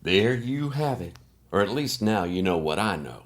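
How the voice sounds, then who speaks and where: A man's voice: lowish voice, sing-song, normal speed, soft background music. A man hosting a podcast with scripted narration. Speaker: podcast host.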